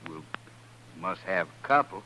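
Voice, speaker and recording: Low, bright-edged western cattle drover. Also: male voice, lively intonation, unhurried, breathy edge, full character voice. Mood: sheepish, conciliatory. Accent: American, rural western drawl. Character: plain-spoken, chastened, tough male cattle drover. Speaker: actor in a western radio drama. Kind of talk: old-time radio western acting. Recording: noisy, humming vintage radio recording.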